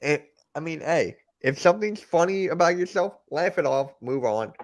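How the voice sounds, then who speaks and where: A man's voice: a little high, animated, normal speed, no room sound, studio quality. Laid-back joking male guest. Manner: laid-back, joking, gives plain advice. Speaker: guest content creator. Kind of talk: casual interview chat.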